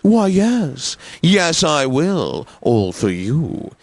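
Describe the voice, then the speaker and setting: An actor playing a wealthy, self-satisfied, effete classical radio DJ in a video game, with affected, precise enunciation and theatrical announcing. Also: male voice, on the high side, sing-song, drawn-out words, no room sound, clean studio sound, exaggerated voice. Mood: smug, genteel. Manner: pompous, affected, precise enunciation, savoring words.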